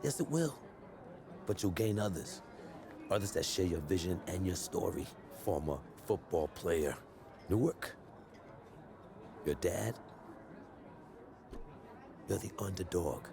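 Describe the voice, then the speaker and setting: A man's voice: deep voice, expressive, slow pace, creaky, long pauses, a crowd in the background, full character voice. A man acting a loyal, streetwise American sports agent in a game cutscene, persuasive and speaking in punchy short phrases. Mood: confident, persuasive. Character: loyal streetwise male sports agent advising a young player.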